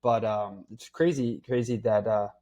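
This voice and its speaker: A man's voice: fairly low pitch, steady tone, measured pace, warm tone. A man hosting a podcast, chatting casually and asking his guest open questions. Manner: friendly, uses fillers, asks open questions. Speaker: podcast host. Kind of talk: casual interview chat.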